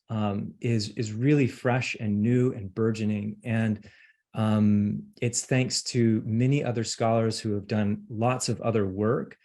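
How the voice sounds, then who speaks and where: A man's voice: mid-range voice, a little mellow. Hesitant middle-aged man giving a lecture. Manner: hesitant, many ums and uhs. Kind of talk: academic lecture.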